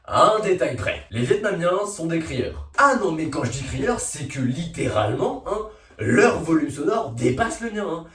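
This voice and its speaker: A young man giving a loud, sarcastic comic monologue full of slang and fillers. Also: on the high side, sing-song, moderate pace. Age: young adult. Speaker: video creator. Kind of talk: comedic monologue vlog. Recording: roomy echo, a little far from the mic.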